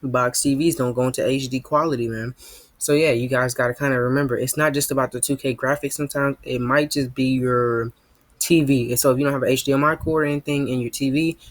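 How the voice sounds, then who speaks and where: A man's voice: mid-range voice, steady tone, fast talker, no echo. A teenage boy, rambling casually to his viewers as a gaming YouTuber, sprinkling his talk with "man" and "you know what I mean". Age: teens.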